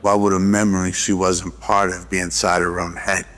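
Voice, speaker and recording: A man reading scripted narration for an audio drama in a steady voice. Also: deep voice, moderate pace.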